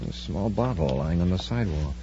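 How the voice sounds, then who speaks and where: An actor playing an authoritative, observant space patrol commander in a measured 1950s radio adventure style. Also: male voice, low voice, expressive, mellow. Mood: calm, watchful. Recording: muffled, noisy old radio recording with hum.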